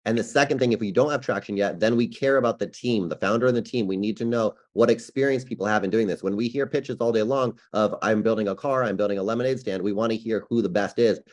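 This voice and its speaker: Fast-talking, blunt male investor. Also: lowish voice, darkish tone. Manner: direct, emphatic, lecturing. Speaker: investor judge. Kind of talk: blunt pitch feedback.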